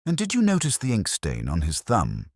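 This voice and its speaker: A man voicing a shrewd, coolly analytical Victorian gentleman detective with precise, formal speech in an audiobook. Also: fairly low pitch, huge pitch swings, moderate pace, no room sound, clean studio sound, voice pushed up, exaggerated voice. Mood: musing, analytical. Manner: precise, formal, musing deductions.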